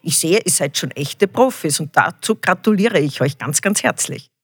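A woman's voice: low-pitched, lively intonation, normal speed, husky, dry recording, clean studio sound. A middle-aged woman with an Austrian accent answers interview questions as a university rector, speaking encouragingly to children. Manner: encouraging, praising.

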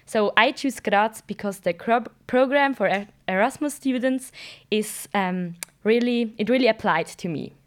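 Woman, 23, from Switzerland, student on a podcast, lively, quick restarts, slightly high voice. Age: 20s. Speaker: student guest. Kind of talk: casual chat.

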